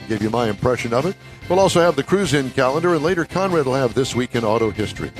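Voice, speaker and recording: A man's voice: mid-range voice, lively intonation, fast, soft background music. A man hosting a radio talk show from a studio, folksy and joking, sometimes tripping over long introductions.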